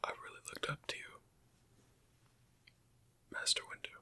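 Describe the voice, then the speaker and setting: A man playing a weary, bitter Imperial interrogator in a whispered ASMR roleplay, questioning in a halting, pointed way with a breathy, husky voice. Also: pushed and bright. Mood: resigned.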